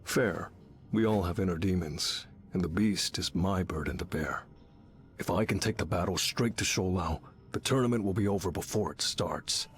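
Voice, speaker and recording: A man's voice: low voice, moderate pace, faint music behind, natural voice. A man voicing a solemn, honorable warrior hero in a video game, speaking in a grave, resolute, formal way. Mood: solemn resolve. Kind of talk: video game character voice acting.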